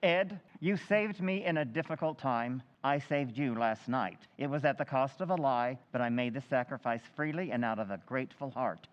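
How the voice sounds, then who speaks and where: A man's voice: mid-range voice, normal speed, hardly any pauses, highs cut off, lightly acted. A man playing a grateful, earnest male townsman in an old-time radio play, speaking measuredly.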